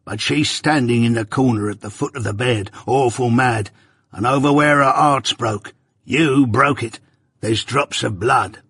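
A man's voice: medium pitch, measured pace, fairly bright tone, breathy edge, dry recording, studio quality. A man voicing a dying, ghost-haunted male convict who raves in frightened rustic dialect. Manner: terrified, raving pleas in rustic dialect.

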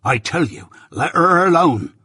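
A man's voice: mid-range voice, expressive, unhurried, breathy edge, dry recording, clean studio sound. Storybook master smith, male reader: rustic, simple-hearted, mild-tempered yet firm, keeping the peace. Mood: firm warning. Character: plain-spoken, patient master blacksmith, mild but firm.

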